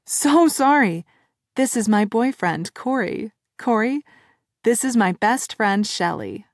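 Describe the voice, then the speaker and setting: A woman's voice: on the high side, expressive, takes it slowly, no echo, studio quality, exaggerated voice. A woman voicing a giddy young woman newly in love, gushing and teasing her friend.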